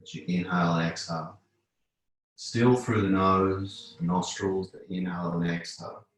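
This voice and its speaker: Male yoga teacher, deep voice, calm guided-relaxation delivery with long pauses, recorded in a very reverberant room. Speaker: yoga instructor. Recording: very echoey room.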